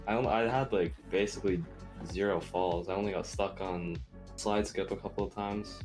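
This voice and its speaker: A man talking through his game run after a match, relaxed and a bit halting. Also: low voice, music in the background. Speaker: player. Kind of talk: casual post-match chat.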